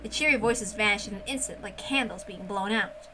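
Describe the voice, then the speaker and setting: A woman narrating a visual novel as an amateur read-aloud, expressive and informal. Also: medium pitch, brisk, background noise. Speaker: narrator and reader.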